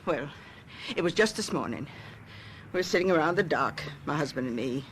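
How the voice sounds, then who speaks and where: A woman's voice: low voice, lively intonation, a little fast. Nosy, righteous neighbour lady, noisy recording. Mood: righteous, insistent. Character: nosy, righteous married woman neighbour, chatty and flustered. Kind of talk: radio mystery drama acting.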